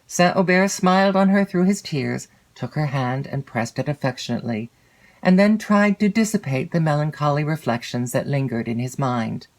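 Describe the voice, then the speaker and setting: A woman reading literary fiction as an audiobook narrator, in a measured, expressive storytelling manner. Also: low-pitched. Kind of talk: scripted literary narration of a classic novel.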